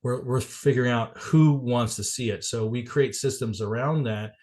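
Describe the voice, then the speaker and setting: A man's voice: medium pitch, moderate pace, darkish tone. A man getting over a cold, a little congested and coughing, giving a casual business presentation on a video call. He rambles with many fillers and restarts. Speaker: guest presenter at a networking meeting.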